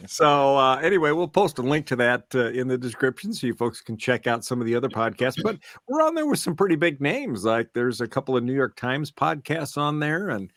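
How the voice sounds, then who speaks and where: A man's voice: mid-range voice, sing-song, a little fast, few pauses, a bit bright, no room sound, studio quality. A man hosting a casual podcast chat in a rambling, hesitant way. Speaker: podcast host.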